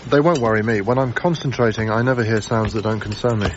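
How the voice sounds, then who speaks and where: An actor playing a reserved, observant young lodger in a radio drama, narrating in a measured, literate way. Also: male voice, fairly low pitch, lo-fi sound, slight background noise, lightly acted. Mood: polite, diffident. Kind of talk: radio drama first-person narration and dialogue.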